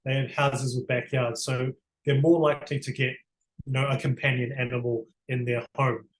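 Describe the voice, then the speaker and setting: Earnest New Zealand man, mid pitch, explains with restarts; roomy echo, faint hum behind. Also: little pitch movement, normal speed. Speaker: barrister, interview guest. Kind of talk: conversational interview.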